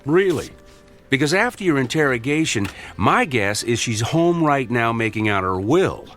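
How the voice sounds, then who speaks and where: An actor playing a caring but exasperated senior doctor in a radio drama, chiding with sarcasm. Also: male voice, medium pitch, big pitch swings, normal speed, fairly bright tone.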